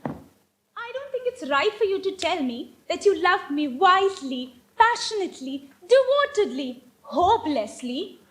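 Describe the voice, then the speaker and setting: Woman playing a very high, girlish young lady. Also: very animated, unhurried, full character voice. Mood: coy, delighted. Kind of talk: amateur stage comedy acting. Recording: stage recording with faint noise.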